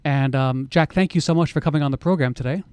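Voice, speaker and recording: A man hosts a radio interview, introducing his guest politely with frequent hesitant fillers. His voice sits a little high for a man. It is recorded close and dry, with no room sound. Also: quick pace, darkish tone, clean tone, no room sound. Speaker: radio show host. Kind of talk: interview hosting.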